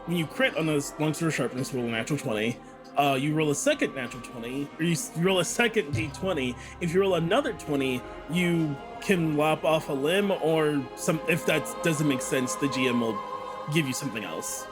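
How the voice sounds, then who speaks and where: A man's voice: fairly high pitch, fairly quick. A man playing a tabletop game, talking casually about rules and his turns. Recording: music playing under the voice.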